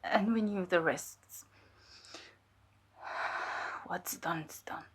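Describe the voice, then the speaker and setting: A woman playing a fearful, guilt-ridden cult infiltrator giving halting, emotional testimony in an audio drama. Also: fairly low pitch, slightly rough, exaggerated voice. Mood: grieving, guilty.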